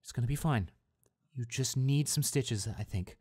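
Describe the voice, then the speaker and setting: A man playing a devoted, anxious young boyfriend in an intimate audio roleplay, speaking tenderly and haltingly with repeated reassurances. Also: mid-range voice, a little mellow, breathy edge, close to an everyday voice. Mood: panicked, then reassuring. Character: devoted, anxious young boyfriend comforting his hurt girlfriend. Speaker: voice actor.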